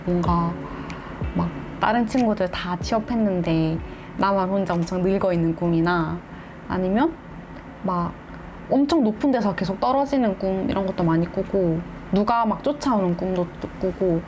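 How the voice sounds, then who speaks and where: A woman's voice: medium pitch, moderate pace, darkish tone, a little hiss or hum. A young woman in her twenties, a job seeker, talking earnestly and hesitantly in an interview. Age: 20s. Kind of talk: documentary interview.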